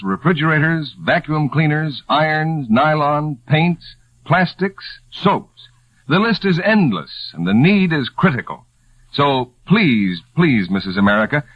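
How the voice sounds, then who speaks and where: A man's voice: on the high side, lively intonation, a little slow, no room sound. A man as a 1940s radio announcer, reading a scripted appeal in an earnest, urging manner. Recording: dull, lo-fi 1940s radio broadcast.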